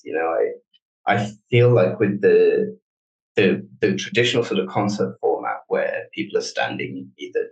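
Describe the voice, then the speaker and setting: Low-voiced man in an echoey room. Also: muffled recording. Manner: relaxed, explanatory, with restarts. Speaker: guest, technology director. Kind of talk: conversational interview answers.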